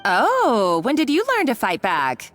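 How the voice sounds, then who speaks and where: A woman's voice: a little high, wildly swooping pitch, unhurried, a bit bright, soft background music, full character voice. An actress voicing a scheming, mocking woman villain in a video game, speaking in a bossy, condescending way. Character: scheming, taunting woman villain, cruel and gloating. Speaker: game character.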